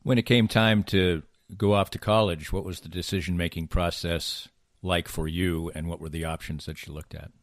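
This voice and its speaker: A man hosting a podcast, asking long, measured questions. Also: low-pitched, steady tone, no room sound, clean studio sound. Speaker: podcast host. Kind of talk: interviewing.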